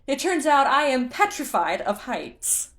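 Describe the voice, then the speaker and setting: Woman as a loud, high, frightened fish-folk guard. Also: big pitch swings, a little slow, heavily acted. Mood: flustered, shouting. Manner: formal, loudly calling out.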